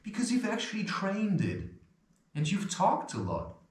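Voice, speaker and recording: A man's voice: sits high, huge pitch swings, unhurried. A man with an occasional stutter giving loose, rambling spiritual advice, swearing and chuckling as he talks. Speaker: self-help video host. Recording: echoey room.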